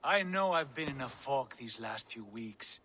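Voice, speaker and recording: Proud, bitter Viking lord, noisy background. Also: male voice, medium pitch, animated, a little slow, sharp tone. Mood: solemn, insistent. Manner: solemn, declamatory. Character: embittered, proud Viking lord, grown man, fervent and brooding. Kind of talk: dramatic video game acting.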